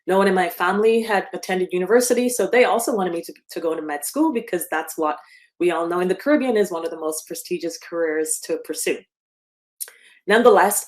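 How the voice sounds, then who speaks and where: A woman in her fifties from Barbados, giving a remote slide presentation with frequent ums and self-corrections. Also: fairly low pitch. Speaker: guest speaker. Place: speaking remotely from home or office.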